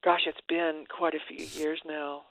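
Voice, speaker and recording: A middle-aged woman from West Virginia, a guest singer speaking relaxed and reflective over a phone line. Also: deep voice, normal speed, no echo. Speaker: guest singer on a radio show. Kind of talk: casual radio interview.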